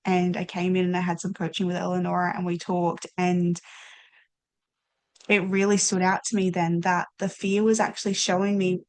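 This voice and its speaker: A woman coach hosting a podcast, speaking encouragingly and talking straight to viewers. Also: on the low side. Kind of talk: warm reflective casual chat.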